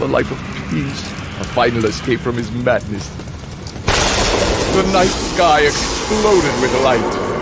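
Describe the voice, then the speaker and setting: An actor voicing a sinister, gloating contest master, narrating a video game in a dramatic, drawn-out way. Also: male voice, mid-range voice, close to an everyday voice. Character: sinister, gloating male host of a deadly car contest. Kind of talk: theatrical scripted game narration. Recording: loud music behind the voice.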